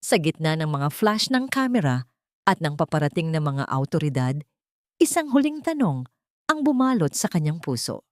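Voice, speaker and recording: A woman narrating a dramatic scripted story in a solemn, deliberate manner. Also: low voice, very animated, no echo, studio quality. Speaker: narrator of audio drama love stories.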